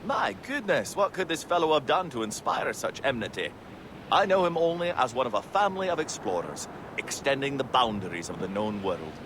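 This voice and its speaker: Lively, genteel gentleman explorer. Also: medium pitch, fairly quick. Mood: curious. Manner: formal, polite, eloquent phrasing. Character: genteel, courteous, inquisitive gentleman explorer at sea. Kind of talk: video game voice acting. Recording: clear wind and sea noise behind.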